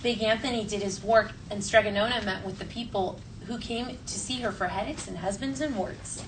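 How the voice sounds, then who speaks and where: A woman teacher addresses young pupils in a classroom read-aloud. Her phrasing is instructional and prompting, at an ordinary pitch. The mic sits far off, with slight noise in the room. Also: normal speed. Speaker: elementary school teacher.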